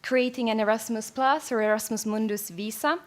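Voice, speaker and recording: Young finnish woman giving a conference talk, bit high voice, lots of uhs. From Finland. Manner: measured, with frequent fillers. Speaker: presenter. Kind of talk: conference presentation.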